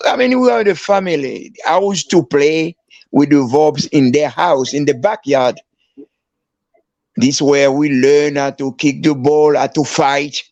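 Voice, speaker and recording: An older man from Haiti shares football memories in a slow, halting way, circling back and restarting his sentences. His voice sits fairly high for a man, and the recording is dry and dull, a lo-fi capture with no room sound. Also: darkish tone, no echo. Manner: halting, many restarts and fillers. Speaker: guest, former footballer. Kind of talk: storytelling interview.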